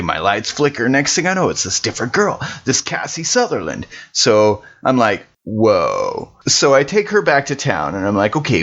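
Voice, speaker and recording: A man playing an excitable, bewildered biker dude in a tabletop game, rambling through a story in exclamatory slang. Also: mid-range voice, highs cut off. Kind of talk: in-character improvised recap, slangy. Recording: noisy home recording, mouth clicks.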